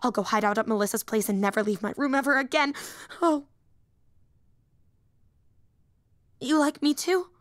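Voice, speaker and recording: A woman's voice: high voice, fast talker, no room sound, studio quality, lightly acted. A woman voicing a young, nerdy female hero fan and teacher in an audio roleplay, eager, rambling and easily flustered. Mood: flustered, shy.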